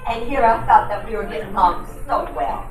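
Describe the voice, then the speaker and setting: A woman's voice: mid-range voice, lively intonation, a little fast, natural voice. A woman playing a sly femme fatale, echoey room. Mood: coy, coaxing. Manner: flirtatious, coaxing, sly. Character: coy, seductive femme fatale client with secrets. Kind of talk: old-time radio detective drama acting. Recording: roomy, distant, music behind.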